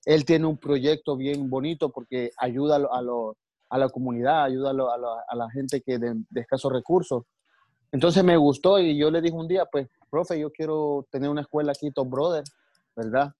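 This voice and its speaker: A man's voice: medium pitch, steady tone, moderate pace, warm tone, dry recording. A man from El Salvador with a Salvadoran accent, a martial arts coach telling his story earnestly in an interview, often restarting his sentences. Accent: Salvadoran Spanish. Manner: earnest, many restarts and religious asides.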